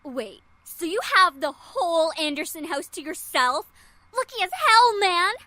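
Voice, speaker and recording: A woman voicing a brash, loud-mouthed teenage schoolgirl in a visual novel. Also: very high-pitched, lively intonation, a little slow, no room sound, heavily acted. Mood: brash, teasing.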